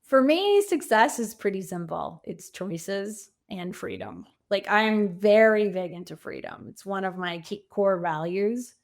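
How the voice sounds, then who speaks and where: A middle-aged woman speaks as an interview guest in a medium-pitched voice. Her answers are conversational and reflective, with an earnest tone and emphasis on the words that matter to her. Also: expressive, measured pace, hardly any pauses. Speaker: podcast guest, coach and author.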